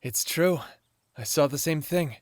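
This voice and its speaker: A man playing an easygoing male werewolf in an audio drama, low-key and matter-of-fact in his speech. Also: a little slow, no echo, close to an everyday voice. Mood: serious. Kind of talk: audio roleplay acting.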